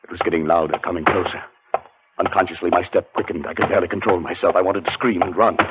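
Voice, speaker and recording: An actor playing a frightened scholar in a 1940s radio suspense drama, narrating his terror in a dramatic, deliberate way that grows halting with nerves. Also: sits low, fairly even intonation, heavy background noise, close to an everyday voice. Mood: mounting terror. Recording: muffled 1940s radio broadcast with music.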